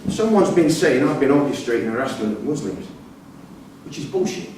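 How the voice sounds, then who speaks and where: A distant voice in a hall with very heavy echo, a man acting a sullen inmate. He talks in a mid-pitched, confrontational, aggrieved way, as in a play reading. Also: big pitch swings, brisk, close to an everyday voice. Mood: aggrieved, menacing. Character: surly, aggrieved, threatening male prisoner. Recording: distant echoey hall recording, faint noise.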